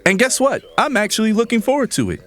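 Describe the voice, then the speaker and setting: A man playing an upbeat, flirtatious, easygoing fiancé in a romantic audio drama, playful and smooth-talking. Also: high-pitched, very animated, fast, a bit bright. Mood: upbeat, earnest.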